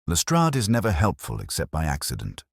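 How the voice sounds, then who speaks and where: A man voicing a coolly analytical, commanding Victorian detective in an audiobook, speaking with precise, deliberate phrasing. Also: low-pitched, huge pitch swings, husky, no room sound, studio quality, heavily acted. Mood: measured, decisive.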